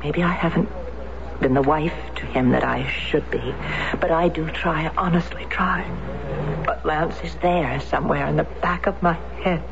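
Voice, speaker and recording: A woman's voice: low voice, unhurried, creaky, crowd noise. An actress playing a determined grieving widow in her thirties who speaks firmly and earnestly, in 1970s radio drama style. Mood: wistful. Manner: firm, pressing, earnest. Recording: muffled lo-fi radio broadcast with faint hiss.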